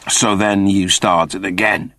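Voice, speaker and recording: Man playing a low-voiced, blunt, scruffy space traveller. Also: fairly even intonation, slow, sharp tone, no room sound, distant mic, full character voice. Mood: wary, questioning. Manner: blunt, casual, questioning.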